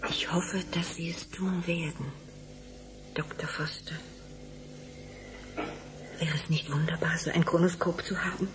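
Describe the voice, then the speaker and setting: An actress playing a dreamy, grieving middle-aged wife in a German radio drama, hesitant, wistful and often hushed. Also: female voice, fairly low pitch, steady tone, unhurried, pauses often, natural voice. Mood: dreamy hope. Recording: clearly noisy background.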